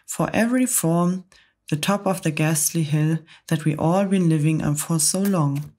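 Woman reading as a gentle motherly ladybird. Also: sits low, moderate pace. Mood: reassuring. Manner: soft, motherly reassurance. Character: kind gentle female ladybird.

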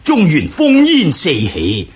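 An eloquent steppe nobleman in a historical radio drama, speaking Hong Kong Cantonese. His delivery is oratorical and resolute. The pitch swings very widely while the words come slowly and weightily. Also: huge pitch swings, a little mellow, exaggerated voice. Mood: solemn, rousing. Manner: declamatory, oratorical.

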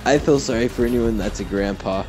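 Giggly teen boy co-player, middle pitch, faint game music behind. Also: fairly quick. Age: teenager. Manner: giggly, joking. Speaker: co-player friend. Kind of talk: casual gaming chat.

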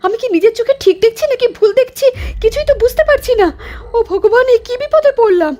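Woman doing a shrill, jittery village wife. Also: animated, fairly quick. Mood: terrified. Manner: shrill, anxious, cries out and pleads. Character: frightened village wife, young adult woman. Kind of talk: dramatized storytelling.

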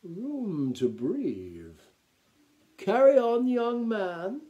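Wily gnome count, man narrating in character: raised pitch, theatrical drawl, dry courtesy, storybook style. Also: big pitch swings, very slow, warm tone, dull audio, exaggerated voice. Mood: theatrical ease.

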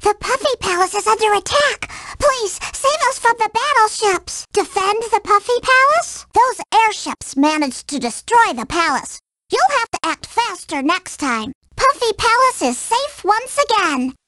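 A woman's voice: very high-pitched, big pitch swings, measured pace, bright, forceful tone, no room sound, heavily acted. A woman voicing a tiny, earnest fantasy palace resident in a video game, with theatrical delivery. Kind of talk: video game character dialogue.

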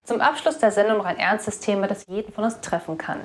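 A woman's voice: mid-range voice, fast talker. Young woman presenting scripted news. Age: young adult. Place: indoor studio. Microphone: clip-on lapel microphone.

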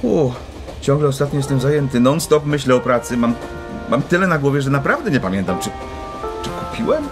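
A man's voice: mid-range voice, sing-song, a little fast, over music. An actor playing a playful, teasing, busy father who jokes in rhymes, in a children's audio drama. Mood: flustered, hurried. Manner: jokey, rhyming wordplay.

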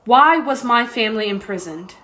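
A woman playing a high-voiced, anguished young mother. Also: expressive, moderate pace, bright, forceful tone. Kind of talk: student radio play acting.

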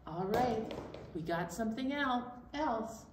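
Middle aged lady talking to little kids, kinda high voice, far from the mic in an echoey room. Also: animated, slow, slight background noise. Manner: addresses children with frequent check-in questions. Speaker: children's storytime host. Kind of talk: leading a flannel board activity for young children. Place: indoor library storytime room. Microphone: camera several feet away. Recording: echoey room, distant microphone.

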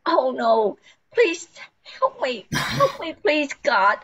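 A woman's voice: high voice, big pitch swings, slow, fairly bright tone, exaggerated voice. An older woman playing a frantic, forgetful housewife who pleads anxiously, in an amateur radio play. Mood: pleading.

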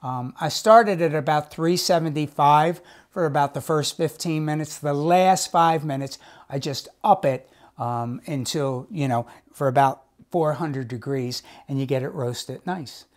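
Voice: medium pitch, a little slow. Cooking show host, chatty, enthusiastic, folksy asides, home kitchen demo. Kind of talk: casual cooking demonstration.

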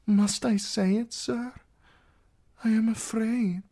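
Narrator putting on a young fantasy princess, husky but pitched up high, wilful and courtly, slow delivery. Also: darkish tone, natural voice. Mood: frightened, pleading. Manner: formal, archaic courtly phrasing.

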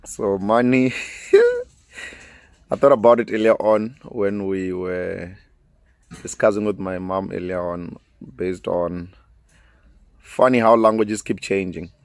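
A man in his thirties with a Venda South African accent chats easily on his own podcast, his voice sitting a little low. He laughs often and lets sentences fade out softly. The sound is dry and close, from a big studio mic outdoors. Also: steady tone, takes it slowly, long pauses, no echo. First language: Tshivenda. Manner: laughs often, easygoing, trails off quietly. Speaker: podcast host. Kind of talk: casual solo podcast chat with phone call. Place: outdoors in a sunny garden. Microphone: large studio mic close up.

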